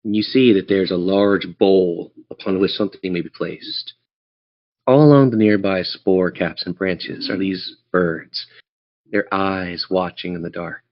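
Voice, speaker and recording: A man with a fairly low voice, heard through slightly muffled lo-fi audio. He is a game master narrating a tabletop adventure, setting scenes with measured, theatrical storytelling. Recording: dull lo-fi audio.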